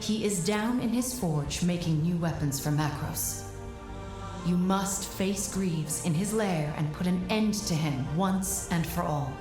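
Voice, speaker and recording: An actress playing a calm female mystic guide in a fantasy game, speaking in a solemn, measured, formal way. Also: fairly low pitch, natural voice. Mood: grave, commanding. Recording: echoing voice over music.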